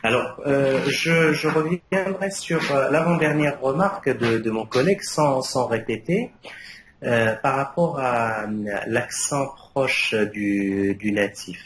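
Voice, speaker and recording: A man's voice: medium pitch, slow pace, fairly bright tone. Hesitant male jury member, Arabic native. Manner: hesitant, many fillers and restarts. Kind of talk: academic thesis feedback. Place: university defense room. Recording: echoey room, faint background noise.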